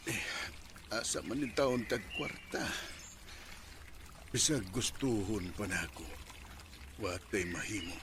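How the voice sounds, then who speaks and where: A man's voice: on the low side, lively intonation, takes it slowly, pauses often. A man acting a weary, ailing father in a Filipino radio drama. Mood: weary, resigned. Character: ailing, weary father; later a wry male client. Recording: noisy radio drama recording.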